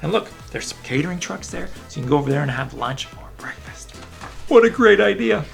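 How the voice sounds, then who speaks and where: A man reads to children with playful enthusiasm and lively swings in pitch. His voice is heavily creaky, and he drops in casual asides as he tells the story. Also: fairly quick, soft background music. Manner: playful, enthusiastic, talking to young listeners. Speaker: children's book reader. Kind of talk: animated read-aloud storytelling with casual asides.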